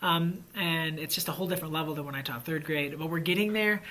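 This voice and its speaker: Enthusiastic teacher-vlogger, male, crisp bright tone, rambling chatty delivery. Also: fairly high pitch, quick pace. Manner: enthusiastic, rambling with many fillers. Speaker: fifth grade teacher. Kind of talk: casual vlog chat.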